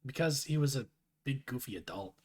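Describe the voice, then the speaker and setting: A man's voice: mid-range voice, animated, brisk, slightly rough. A man voicing a playful, casual teenage boy from a webcomic, sarcastic and rambling. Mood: casual, teasing.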